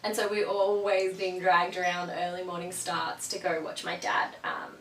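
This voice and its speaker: A woman's voice: lowish voice, normal speed, fairly bright tone. Sniffly, chatty woman. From Australia. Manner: chatty, gushing, many ums. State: sniffly with a cold. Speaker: vlogger. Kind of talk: casual product chat to camera. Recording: roomy, from a distance, faint hum.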